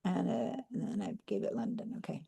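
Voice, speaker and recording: Gravelly-voiced woman teaching a computer class. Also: fairly high pitch, sing-song, a little fast, dark tone. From Argentina. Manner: patient, step-by-step explaining with fillers. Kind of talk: live online class demonstration.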